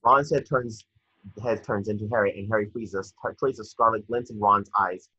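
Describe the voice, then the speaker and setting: A man's voice: fairly low pitch, fairly even intonation, moderate pace, bright, forceful tone, slightly rough, no echo. A young man reading screenplay stage directions as narrator over a video call. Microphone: computer mic on video call. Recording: dull, dry video-call audio.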